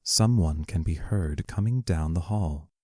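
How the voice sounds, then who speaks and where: A man giving scripted narration of stage directions for an audio play in a measured, matter-of-fact way. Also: low-pitched, mellow, slightly rough, no room sound, clean studio sound.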